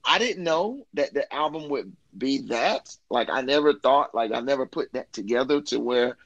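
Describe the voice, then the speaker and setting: An enthusiastic man in an informal interview chat, speaking as a musician about his work. His voice has an ordinary middle pitch and is recorded very dry, with little room sound. His phrasing is loose and friendly slang. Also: expressive, moderate pace, a bit bright, no room sound, highs cut off. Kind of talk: casual chat about career and new album.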